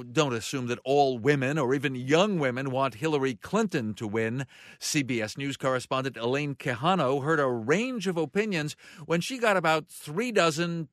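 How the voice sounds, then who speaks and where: A man hosting a radio news program, reading and interviewing in a measured broadcast delivery. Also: medium pitch, fairly bright tone, no room sound, studio quality.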